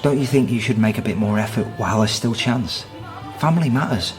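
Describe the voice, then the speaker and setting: An actor playing a disapproving, dutiful brother who reasons and lectures in naturalistic radio drama dialogue. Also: male voice, lowish voice, brisk, over music, lightly acted. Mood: reproachful.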